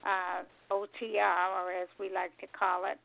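A woman's voice: mid-range voice, level tone, moderate pace, vocal fry. An older woman co-hosting a radio show in casual conversation over a narrow phone line.

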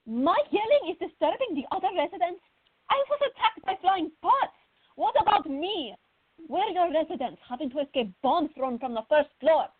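A woman playing a fiery, furious teenage girl yelling indignantly in a radio drama. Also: very high-pitched, lively intonation, normal speed, phone-quality sound. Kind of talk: radio theater acting.

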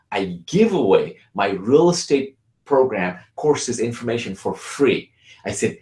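A man from Bangladesh giving an energetic, persuasive sales pitch for his real estate program. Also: roomy sound. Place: Tampa, Florida.

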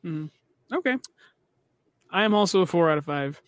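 High-voiced man in casual podcast banter. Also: expressive, moderate pace, no echo, studio quality. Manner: crude, teasing banter. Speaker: podcast co-host.

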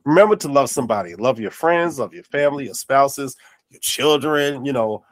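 A man's voice: medium pitch, moderate pace, no room sound. A man hosting an interview podcast, sounding nasal and congested, with affirming and encouraging talk. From North Carolina. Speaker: podcast host. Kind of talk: casual interview chat.

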